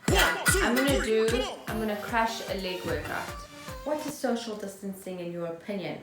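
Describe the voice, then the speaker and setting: A woman's voice: medium pitch, expressive, normal speed, music in the background. A woman chatting casually as a podcast co-host at home. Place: indoors at home. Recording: echoey room, noticeable background noise.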